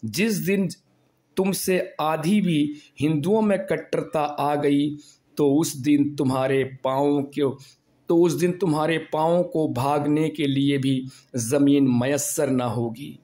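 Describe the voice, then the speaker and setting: Hoarse man with a slow delivery. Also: a little high, steady tone, mellow. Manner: preachy, rhetorical, rising to anger. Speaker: video blogger. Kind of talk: impassioned monologue to camera. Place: at home.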